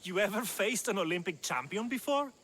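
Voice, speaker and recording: An actor playing a blunt fight trainer who speaks in a wry, mocking way in a video game. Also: male voice, sits high, animated, fairly quick, a bit bright, a little hiss or hum. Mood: teasing.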